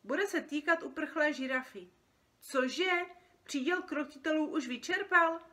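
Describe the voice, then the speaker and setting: A woman's voice: high-pitched, fairly bright tone, voice pushed up. A woman voicing an exasperated, bossy feathered office worker on the phone in a storybook. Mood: brisk, bossy. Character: busy, irate feathered office workers ordering dreams by phone. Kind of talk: storybook character reading.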